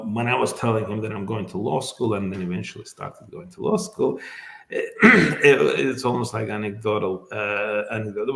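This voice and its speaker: A middle-aged man from Azerbaijan, whose first language is Azerbaijani, talks slowly through his memories, with lots of "um" and self-corrections. His voice sits fairly low. The sound carries a noticeable echo from the room. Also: gravelly, a bit bright. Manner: thoughtful, many fillers and restarts. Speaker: immigration lawyer, guest. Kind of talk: casual interview.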